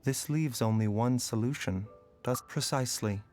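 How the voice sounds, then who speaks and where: Calm philosophical healer voiced by a man, music behind. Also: mid-range voice, close to an everyday voice. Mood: philosophical, resolute. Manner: measured, reflective, earnest. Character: gentle, philosophical male healer, calm and resolute. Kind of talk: dramatic video game monologue. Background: game soundtrack music.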